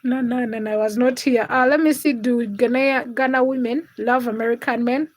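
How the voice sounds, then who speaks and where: High-voiced woman hosting a chatty livestream. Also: steady tone, moderate pace. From Uganda and Kenya. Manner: chatty, teasing, addresses viewers by name. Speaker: livestream host. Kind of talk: casual livestream chat reading comments.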